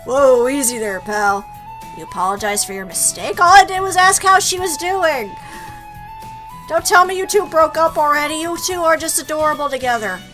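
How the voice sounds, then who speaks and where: Woman as a teasing skeleton guy, bright voice. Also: high voice, normal speed, soft background music, voice pushed up, poor audio. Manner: easygoing, teasing. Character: laid-back joking male skeleton buddy.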